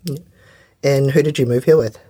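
A man asks prepared interview questions in a mid-range voice. The sound is close and dry, and his phrasing is careful and measured. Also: medium pitch, steady tone, mellow, dry recording. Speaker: interviewer, podcast host.

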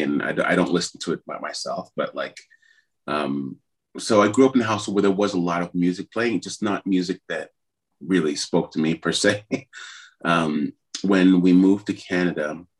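A middle-aged man from Trinidad, a musician guest telling his story in a rambling, hesitant way with many fillers. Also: deep voice. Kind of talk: conversational interview.

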